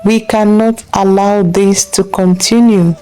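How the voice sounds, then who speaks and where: A resolute African king of folktale, read by a woman speaks slowly and solemnly. The tone is mellow and deliberate, with faint noise behind it. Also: medium pitch, fairly even intonation, close to an everyday voice.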